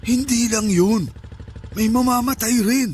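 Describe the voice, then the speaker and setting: High-pitched, superstitious, nagging uncle. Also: male voice, measured pace, a little mellow, a little hiss or hum. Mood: uneasy. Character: superstitious, bossy, uneasy older uncle. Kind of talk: Tagalog radio drama acting.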